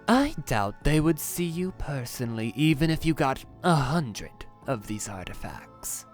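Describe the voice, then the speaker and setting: A man playing a sly, taunting demon messenger in an animated roleplay, with a teasing, sarcastic drawl. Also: medium pitch, a little slow, over loud music. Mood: dryly taunting. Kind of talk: Minecraft roleplay voice acting.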